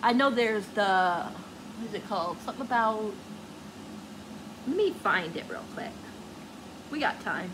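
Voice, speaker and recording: A woman's voice: mid-range voice, expressive, measured pace, pauses often, background noise. A woman book vlogger chatting casually to viewers, gushing and rambling as she searches for words.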